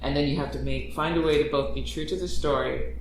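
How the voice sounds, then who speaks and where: A man in a casual chat about writing stories, who rambles, restarts his thoughts and grows animated when he retells a plot. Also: a little high, fast talker, slight background noise. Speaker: podcast creator and writer. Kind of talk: casual conversation. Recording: echoey room, distant mic.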